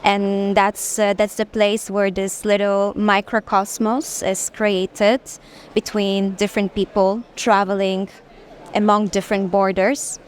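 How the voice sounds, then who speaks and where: A woman presenting an artwork off the cuff, pausing between short phrases as she explains it. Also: medium pitch. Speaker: gallery representative presenting an artist's work. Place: busy art fair hall. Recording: faint fair hall background noise.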